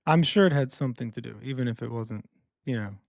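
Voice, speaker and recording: A man hosting a podcast, chatting in a quick, upbeat and friendly way with his guest. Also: mid-range voice, creaky, a little mellow, dry recording, studio quality. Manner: fast, upbeat, friendly banter. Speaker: podcast host. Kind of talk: casual interview.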